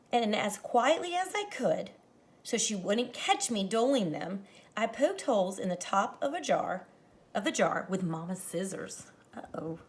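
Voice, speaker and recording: Animated woman teacher reading aloud. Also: mid-range voice. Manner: animated, dramatizes the story lines, addresses children directly. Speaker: teacher reading a picture book aloud to students. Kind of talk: expressive children's read-aloud with explanatory asides.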